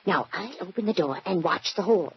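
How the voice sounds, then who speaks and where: An actress playing a spirited, eccentric older woman in a 1940s radio drama, husky, chirpy and coy, with quaint detective slang. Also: fairly low pitch, animated, moderate pace, no room sound, exaggerated voice. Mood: scheming, insistent. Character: spirited, eccentric old woman, a mystery-novel fan playing sleuth. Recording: muffled vintage 1940s radio recording.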